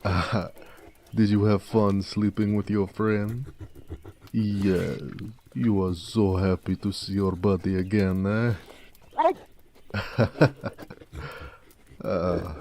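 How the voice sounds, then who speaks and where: A man playing a gentle, affectionate orc blacksmith in a romantic audio roleplay, speaking tenderly with some teasing. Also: low-pitched, fairly even intonation, extremely slow, long pauses, a little hiss or hum, full character voice. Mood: fond, teasing.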